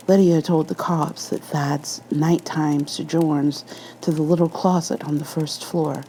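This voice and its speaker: A woman's voice: low-pitched, warm tone, slight background noise, low-quality recording. A woman reading a scripted horror story as an ASMR narrator.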